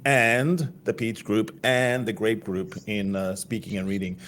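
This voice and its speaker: A man's voice: lowish voice, steady tone, unhurried, fairly bright tone. A man from South Africa co-hosting a rugby podcast in casual chat, teasing and laughing often. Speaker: podcast co-host.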